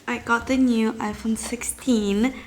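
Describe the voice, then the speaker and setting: A woman's voice: on the high side, fairly even intonation, unhurried, a little hiss or hum, poor audio. A young woman with a stuffy, nasal voice from a cold, chatting casually as a vlogger about her day, speaking into a phone camera. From China. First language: Chinese. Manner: chatty, diary-like, laughs lightly. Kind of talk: casual vlog chat. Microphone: handheld phone camera. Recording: vlog with music bed under voice. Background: background music.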